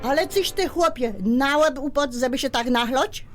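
A woman playing a scolding highland mother. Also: normal speed, music in the background, lightly acted. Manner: scolding, sharp, regional speech. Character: scolding Polish mother, middle-aged wife. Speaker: wife Kasia. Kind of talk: radio drama acting.

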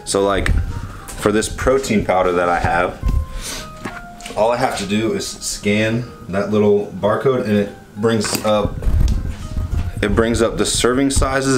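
A young man chatting casually through a cooking how-to, rambling and correcting himself as he films with a handheld camera in a small home kitchen. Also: on the low side. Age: young adult. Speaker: vlogger. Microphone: handheld camera at arm's length.

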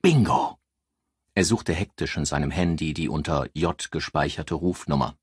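A man's voice: rumbling low, fairly even intonation, moderate pace, slightly rough, no room sound, clean studio sound. A man reading a crime novel aloud as a scripted audiobook narrator.

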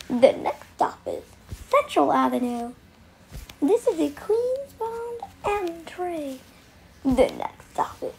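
A woman serves as a train announcer, with a very high voice that rises and falls in a lively way. She reads scripted station announcements in set, clipped phrases, slowly and over audible noise. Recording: noisy recorded train announcements.

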